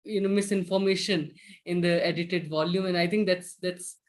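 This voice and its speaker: A man's voice: high-pitched, steady tone, moderate pace. A man hosting a live-streamed interview, speaking politely and hesitantly, often restarting his sentences. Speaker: interviewer host.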